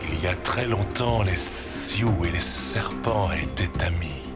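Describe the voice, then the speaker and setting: A man voicing a stern, solemn guardian snake in a cartoon, speaking in a measured way. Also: low voice. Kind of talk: animated series acting. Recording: loud music underneath.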